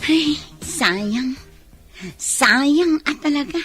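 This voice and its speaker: A woman's voice: sits high, lively intonation, extremely slow, over music, exaggerated voice. A Filipino woman acting a sensitive, earnest young daughter in an emotional radio drama. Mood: wistful, soft. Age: young adult. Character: sensitive young daughter pleading against an arranged marriage.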